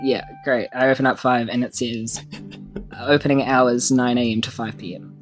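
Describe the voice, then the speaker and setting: Really low voiced kiwi woman, jokey rambly roleplay chat, bit of music behind. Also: steady tone, normal speed. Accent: New Zealand. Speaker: player in a tabletop role-playing podcast. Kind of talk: casual improvised role-play chat.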